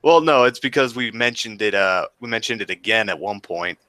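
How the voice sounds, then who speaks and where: A man in his twenties hosting a podcast, asking questions in a relaxed, laid-back way. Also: fairly low pitch, fairly quick, no echo. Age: 20s. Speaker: podcast host. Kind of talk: casual podcast chat.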